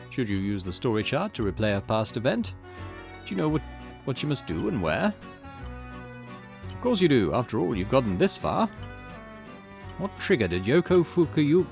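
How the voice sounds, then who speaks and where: Man voicing a courteous, knowing storyteller, lively pitch. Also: faint music behind. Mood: sly, knowing. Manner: formal, measured, polite. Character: calm, courteous, knowing storyteller who addresses the player. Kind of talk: visual novel narration read aloud.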